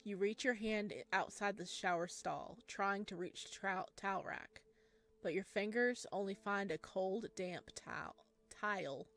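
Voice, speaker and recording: A woman reading a visual novel as a solemn, suspenseful narrator of a creepy horror story. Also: mid-range voice. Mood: uneasy, suspenseful. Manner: slow, hushed, suspenseful. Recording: music behind the voice.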